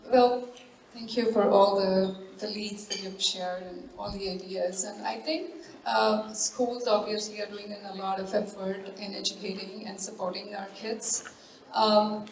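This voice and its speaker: Halting woman in a noisy, echoey hall. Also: mid-range voice, far from the mic. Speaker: panelist, parent. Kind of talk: unscripted panel remarks. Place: large event hall.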